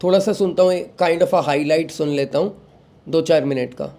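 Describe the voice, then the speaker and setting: A young man, recovering from a sore throat, hosting a devotional talk and giving calm advice to followers while mixing in English phrases. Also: fairly high pitch, moderate pace. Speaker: satsang host.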